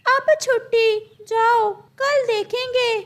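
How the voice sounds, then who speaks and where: A woman acts out a male parrot teacher from a kids' cartoon, pitched very high. He speaks slowly, with the firm, instructive care of someone running a classroom. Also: fairly even intonation. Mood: concerned, firm. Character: male parrot schoolteacher, firm, caring authority. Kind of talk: children's cartoon story voice.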